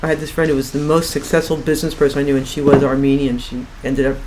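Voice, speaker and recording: An older woman with an American accent chatting casually in an animated, opinionated way. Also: a bass voice, quick pace. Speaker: podcast guest. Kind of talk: casual chat. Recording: noisy room recording.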